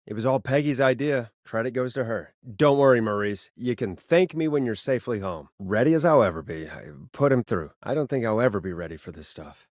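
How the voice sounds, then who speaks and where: An actor playing a wry, quick-witted late-night radio host in a video game, with sarcastic, hesitant asides. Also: male voice, mid-range voice, no room sound, clean studio sound, close to an everyday voice. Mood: earnest, brisk.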